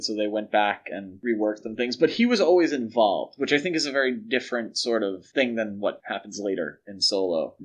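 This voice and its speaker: Man casually talking films as podcast host. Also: medium pitch. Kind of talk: casual film discussion.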